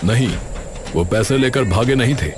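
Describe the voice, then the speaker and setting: A man acts as a police inspector in an animated story, sober and sympathetic, with a mid-pitched voice. Clear noise runs behind him. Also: normal speed, natural voice. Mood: grave, explaining.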